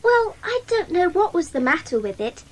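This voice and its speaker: A woman's voice: extremely high pitch, big pitch swings, moderate pace, low-quality recording. A woman playing an observant, earnest schoolgirl who speaks precisely in a children's audio drama. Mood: earnest, proud. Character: Janet, bright observant schoolgirl club member.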